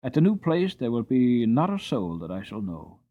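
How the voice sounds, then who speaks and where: A man's voice: mid-range voice, very animated, moderate pace, dark tone. A man voicing a young male groom who speaks earnestly and wistfully about leaving home.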